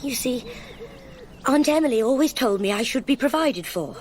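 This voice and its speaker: An actress in a radio drama playing a sweet young Englishwoman, girlish and expressive, vulnerable yet playful, with an English accent. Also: sits high, moderate pace. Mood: forlorn, confiding.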